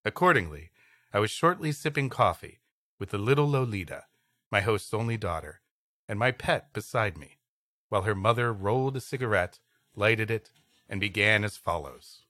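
Male story podcaster, bright tone, dry close sound, comic asides. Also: fairly low pitch, animated, normal speed, no room sound. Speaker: podcast co-host reading an old story.

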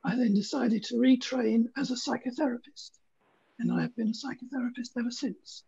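A woman in her sixties with a groggy voice, briskly summing up her career in short clipped phrases on a video call. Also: on the high side, steady tone, creaky, warm tone, husky. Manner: clipped, list-like, drops into near-whisper. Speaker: panel guest, psychotherapist. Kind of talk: brief spoken career summary. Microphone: laptop mic on video call. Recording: muffled, lo-fi video-call audio.

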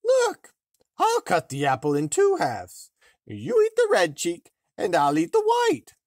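A man voicing a wicked, envious queen disguised as an old farmer's wife, loud and drawn out, in a storybook reading. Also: high-pitched, huge pitch swings, unhurried, dry recording, clean studio sound, pitched above the performer's own voice, exaggerated voice. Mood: wheedling.

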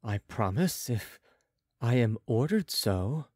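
A man voicing an obedient young male patient in a trance, placid and slow, for an audiobook. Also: mid-range voice, very animated, darkish tone, no echo, studio quality. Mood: entranced calm. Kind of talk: audiobook character voice.

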